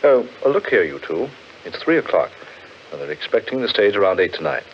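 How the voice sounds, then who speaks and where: An actor playing an earnest, brooding gentleman in a theatrical 1940s radio horror drama, insistent and given to hesitant pauses. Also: lowish voice, big pitch swings. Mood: genial, eager. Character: earnest, brooding gentleman fascinated by eerie legends. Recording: muffled, noisy 1940s radio recording.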